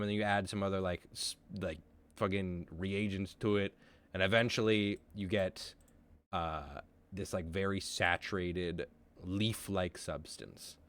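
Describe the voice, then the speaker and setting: A man's voice: fairly low pitch, a bit bright, clean tone. A man narrating as game master, rambling with fillers and casual swearing.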